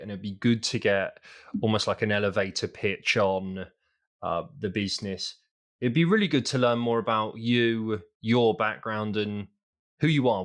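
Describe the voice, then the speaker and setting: A man hosting a podcast, interviewing a guest in a friendly, affirming way. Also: fairly low pitch. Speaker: podcast host.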